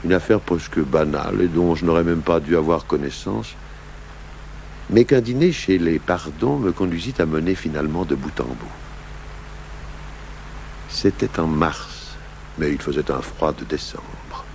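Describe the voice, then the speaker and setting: An actor playing a seasoned police commissioner who narrates an old case in a measured, reflective way for a radio drama. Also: male voice, vocal fry, darkish tone. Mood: reflective, sombre. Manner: measured, reflective, literary phrasing. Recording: hissy 1980s radio recording, low hum.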